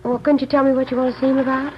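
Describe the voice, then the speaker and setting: A woman's voice: high voice, level tone, racing, close to an everyday voice. An actress in 1950s radio drama playing a worried, devoted seamstress in her thirties who talks hesitantly and pleads. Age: 30s. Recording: muffled old radio broadcast with hiss.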